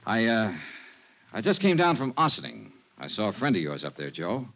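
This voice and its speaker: A man's voice: on the low side, wildly swooping pitch, moderate pace, a bit bright, heavily acted. An actor playing a cool, skeptical male insurance investigator in a 1950s radio drama, clipped and matter-of-fact. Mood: businesslike. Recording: muffled old radio broadcast.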